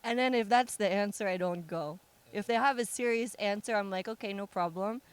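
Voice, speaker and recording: A woman's voice: mid-range voice, brisk, smooth. A young American woman, a musician, chatting casually in an interview, joking and laughing often. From United States. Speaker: musician guest.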